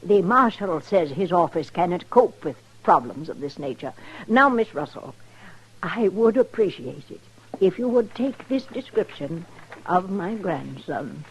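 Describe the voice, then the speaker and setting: An imperious old society matron, speaks in formal, genteel phrases. Her husky voice swoops up and down with condescension. It sounds like vintage radio drama. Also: mid-range voice, muffled recording, exaggerated voice. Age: older.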